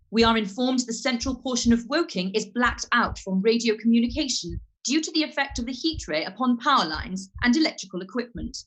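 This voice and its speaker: A composed radio news announcer reads a string of urgent bulletins in a radio drama, as a woman plays her. Her voice sits a little high, and her delivery stays crisp and steady throughout. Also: poor audio. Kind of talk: scripted bulletin reading.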